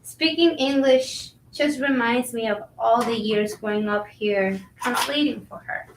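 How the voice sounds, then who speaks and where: Actress as overworked young female kitchen worker, frank and high-pitched, stage reading, distant mic. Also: a little slow, slight background noise. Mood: sincere, reflective. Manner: bilingual, switches between Spanish and English. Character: overworked, frank, warm-hearted young Colombian-American restaurant worker. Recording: roomy, distant microphone.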